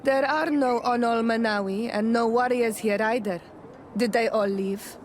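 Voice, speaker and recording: A woman's voice: fairly high pitch, steady tone, measured pace, a little hiss or hum, natural voice. An actress playing a grave, questioning young native islander woman in a video game, wary and troubled. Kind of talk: scripted video game dialogue.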